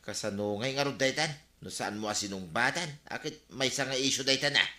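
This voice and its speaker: A man's voice: mid-range voice, brisk, bright, forceful tone, reverberant. An actor playing a wary, scheming village man in an Ilocano comedy radio drama, with an Ilocano Filipino accent. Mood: questioning.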